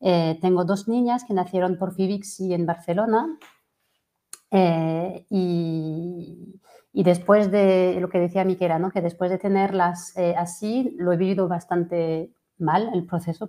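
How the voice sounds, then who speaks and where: French-accented woman in her 40s, mellow low voice, hesitant webinar coach. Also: steady tone, a little slow. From France. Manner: hesitant, many fillers and restarts. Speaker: fertility coach. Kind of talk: webinar presentation.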